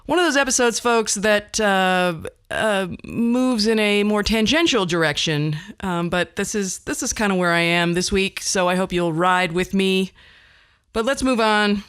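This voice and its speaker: A middle-aged American woman with a very clear, smooth voice hosts a podcast. She rambles casually and hesitantly, with wry self-deprecation. The sound is dry and close. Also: mid-range voice, unhurried, a bit bright, dry recording. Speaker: podcast host.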